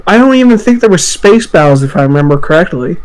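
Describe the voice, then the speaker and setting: Male podcast co-host, slightly high voice, informal and foul-mouthed game chat. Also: animated, fast talker, a crowd in the background. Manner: sweary, informal. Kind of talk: casual podcast chat about video games. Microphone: voice chat call.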